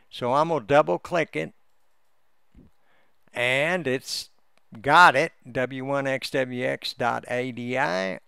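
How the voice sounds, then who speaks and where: A man talks through a computer task step by step in a casual, unhurried way. His voice is crisp and resonant with a creaky, gravelly edge, and it sounds close and dry. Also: medium pitch, fairly bright tone, no echo. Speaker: amateur radio hobbyist giving a software tutorial. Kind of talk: casual screen-recorded walkthrough.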